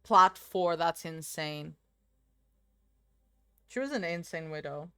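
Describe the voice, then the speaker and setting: Bright, chatty woman streamer. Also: deep voice, takes it slowly, smooth, no room sound, clean studio sound. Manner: chatty, rambling, answers chat aloud. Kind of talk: casual gaming stream chat. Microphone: desk microphone.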